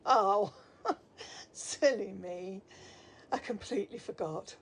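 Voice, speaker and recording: A woman's voice: medium pitch, slow pace, husky. A woman acting a breezy, motherly office receptionist in an audio drama, with a cosy sing-song manner. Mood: cheery, gossipy. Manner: sing-song, cosy, calls people love.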